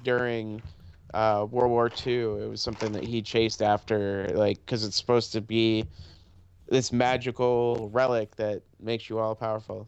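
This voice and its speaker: A man chatting casually and explaining things loosely among friends. His voice is smooth and clean, but the recording sounds dull and muffled. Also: mid-range voice, dry recording. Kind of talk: casual chat.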